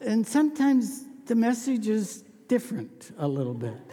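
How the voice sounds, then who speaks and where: A man's voice: sits high, very animated, normal speed, dark tone, big-room sound, a little hiss or hum. A man preaching a sermon as pastor, telling stories in a folksy, conversational way.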